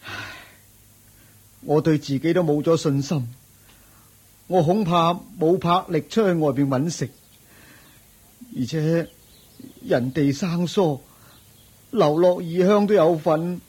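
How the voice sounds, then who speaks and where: A man's voice: fairly high pitch, slow pace, pauses often, darkish tone, husky. A man with a Hong Kong Cantonese accent playing a luckless, penniless nephew, humble, sighing and pleading, in a radio drama. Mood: despairing.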